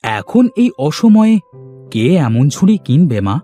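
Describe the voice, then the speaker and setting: A man plays a poor village father in a cartoon fairy tale, weary and plaintive. His fairly high voice swings widely in pitch as he laments and pleads, with music playing underneath. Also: big pitch swings, unhurried, warm tone. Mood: discouraged.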